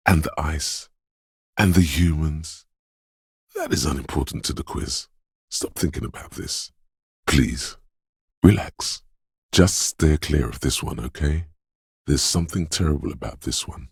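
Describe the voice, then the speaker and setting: A cavernously deep, gravelly inner voice. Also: male voice, clean studio sound, heavily acted. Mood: mournful, haunted, then pleading, uneasy. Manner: slow, hushed, ominous, cryptic second-person pronouncements with dramatic pauses. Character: eerie inner voice of intuition, dreamlike, brooding, intimate. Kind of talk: dramatic video game narration.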